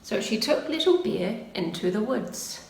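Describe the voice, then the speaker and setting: A woman narrates a children's storybook at a slow pace with a very varied melody. She is middle-aged and reads for preschoolers. The room adds echo and there is faint background noise. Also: mid-range voice, expressive, creaky, far from the mic. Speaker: preschool story-time reader. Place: indoors, small plain room. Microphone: camera a short distance away.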